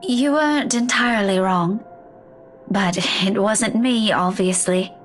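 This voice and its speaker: An actress in a story game playing a weary, distraught woman who speaks haltingly, with sighs. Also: fairly high pitch, fairly bright tone, a little hiss or hum. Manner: halting, sighing, faltering speech. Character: weary, distraught woman keeping hospital vigil over loved one. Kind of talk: dramatic game dialogue.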